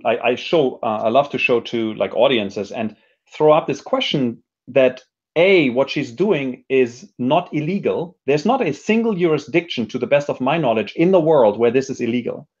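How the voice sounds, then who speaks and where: A man's voice: medium pitch, expressive, normal speed, a little mellow, husky, lo-fi sound. A man giving thoughtful interview answers, reflective and hesitant, often restarting his sentences as he works through an idea. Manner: reflective, hesitant, frequent self-restarts and hedging. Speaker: interview guest, technology educator and speaker.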